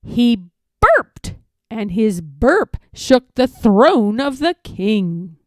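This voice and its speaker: A woman reads a rhyming children's story aloud as narrator. She takes her time and varies her pitch widely, in a close, dry sound. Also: big pitch swings, extremely slow, darkish tone, no echo.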